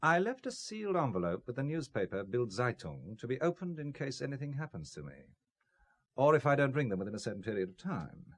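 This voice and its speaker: A man's voice: medium pitch, big pitch swings. An actor playing a principled businessman blackmailed into espionage, speaking with dry, bitter sarcasm in an audio drama. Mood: defiant. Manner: dry, sardonic, deliberate.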